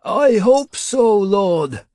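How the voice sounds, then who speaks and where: Man playing a doubtful sailor, voice raised high. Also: big pitch swings, very slow, darkish tone, no room sound, heavily acted. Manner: puzzled, unsure, raised voice. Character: doubtful, deferential bearded male sailor warrior.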